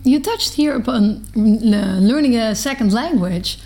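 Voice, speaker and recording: A woman's voice: on the high side, animated, a little slow, dark tone. A Dutch woman hosting a podcast, whose first language is Dutch, speaking English with a Dutch accent and asking short probing questions. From Netherlands. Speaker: podcast host. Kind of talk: interviewing.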